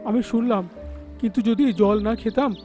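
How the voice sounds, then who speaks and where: Cartoon fable animal, played by a man: strikingly high, soft-toned voice over light music. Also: fairly even intonation, moderate pace, natural voice. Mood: desperate, defiant. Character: animal characters of a children's fable: tiger king, elder elephant, young deer. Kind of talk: dubbed cartoon dialogue. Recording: background music under the voice.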